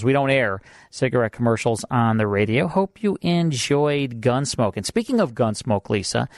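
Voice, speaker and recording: An American man with a mid-pitched voice hosts a radio show in a conversational style. He is close to the mic in a very dry studio. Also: no room sound. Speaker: radio host.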